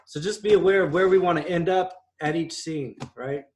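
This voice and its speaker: Higher-voiced man, practical producer, sorting out logistics on a call. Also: normal speed, a little hiss or hum. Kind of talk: casual video-call meeting talk.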